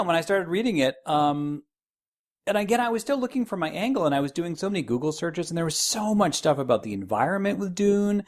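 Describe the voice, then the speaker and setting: A man with a mid-range voice chats as an interview guest. He recounts things informally and haltingly, circling back and restarting his phrases as he goes. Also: mid-range voice. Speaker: podcast guest, podcaster. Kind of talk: casual interview conversation.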